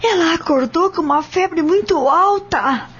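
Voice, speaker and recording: A woman's voice: very high voice, a little slow, a bit bright, dull audio. A Brazilian woman playing an anxious wife and mother, urgent and pleading, in an audio drama. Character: anxious wife and mother, distressed over her child. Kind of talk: audio drama acting in Portuguese.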